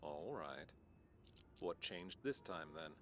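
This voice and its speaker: Very deep-voiced, skeptical police detective. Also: male voice, animated, slight background noise. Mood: probing. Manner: sardonic, pressing, deliberate. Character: stern, skeptical male police detective interrogating a suspect. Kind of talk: audio drama acting.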